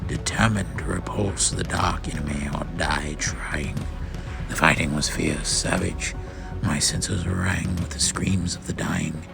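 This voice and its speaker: Grave, low, gravelly male narrator. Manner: grave, solemn, theatrical. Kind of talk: dramatic first-person scripted narration of a space comic. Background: music.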